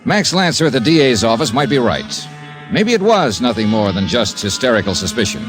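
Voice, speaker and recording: An actor playing a cool, wry freelance insurance investigator in an old-time radio detective drama. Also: male voice, mid-range voice, very animated, fairly quick, soft background music, natural voice. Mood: narrating crisply. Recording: vintage radio broadcast recording.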